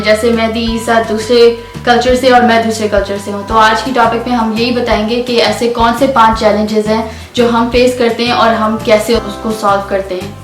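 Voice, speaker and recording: Very fast-talking woman in an echoey room. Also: fairly high pitch. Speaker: video co-host. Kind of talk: casual chat addressed to viewers, switching languages. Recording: echoey room, distant mic, faint music.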